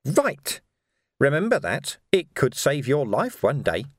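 A man's voice: mid-range voice, wildly swooping pitch, takes it slowly, no room sound, studio quality, full character voice. A man voicing a menacing, mocking villainous captain in a story, bullying and falsely matey by turns. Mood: threatening.

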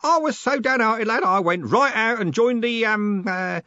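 Booming, blustering old merchant, high and loud, all bluster and backslaps, as a male reader puts him on. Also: moderate pace, no echo, highs cut off. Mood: booming hearty. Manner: bellowing, booming.

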